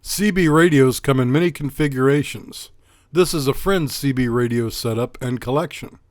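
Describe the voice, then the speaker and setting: A man's voice: mid-range voice, moderate pace, dry recording, clean studio sound. A man reading scripted explainer narration as host of an electronics channel, in a plain, instructional manner.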